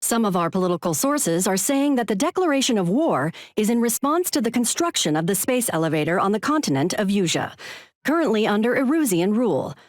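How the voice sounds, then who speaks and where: An actress playing a grave, composed TV news anchor, reading breaking news in a formal, measured, urgent manner. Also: female voice, medium pitch, big pitch swings, no room sound, clean studio sound, lightly acted.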